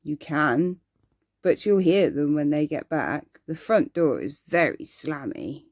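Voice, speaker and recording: A woman's voice: low voice, lively intonation, takes it slowly, a little mellow, no room sound, studio quality, full character voice. A woman voicing a precocious, earnest little boy, matter-of-fact and knowing, in an ASMR bedtime story. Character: precocious, earnest little boy who loves scary monsters.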